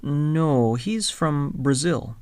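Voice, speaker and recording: A speaker reading scripted English listening exercises in a slow, deliberate way, spelling out words letter by letter. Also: medium pitch, lively intonation, darkish tone, clean tone. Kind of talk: scripted slow textbook dialogue.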